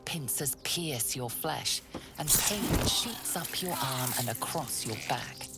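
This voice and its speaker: Low, slow, ominous storyteller. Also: female voice, animated, heavily acted. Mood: grave, ominous. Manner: measured, ominous storyteller delivery. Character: grave, omniscient female fantasy game narrator. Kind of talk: scripted second-person game narration. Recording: loud game music behind. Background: game music.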